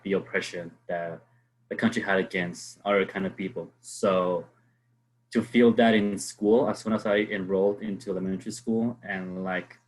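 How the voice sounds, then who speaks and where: A man from Mexico answering as a student panelist, earnest and halting with fillers. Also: on the low side, low-quality recording.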